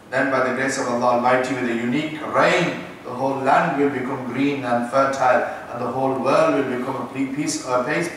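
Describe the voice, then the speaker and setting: Imam lecturing in an echoey room. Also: male voice, medium pitch, fairly bright tone, far from the mic, slight background noise. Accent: British English. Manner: teasing the audience with asides. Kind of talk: islamic lecture.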